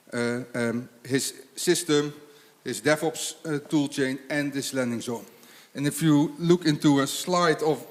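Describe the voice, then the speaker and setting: A man, a consultant, presenting at a conference, speaking haltingly with many fillers and restarts. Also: a little high. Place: conference hall with audience. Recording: long hall reverb.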